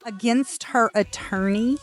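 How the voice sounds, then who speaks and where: A woman's voice: on the high side, unhurried. A woman doing casual reaction commentary, sarcastic and mocking, often in short interjections.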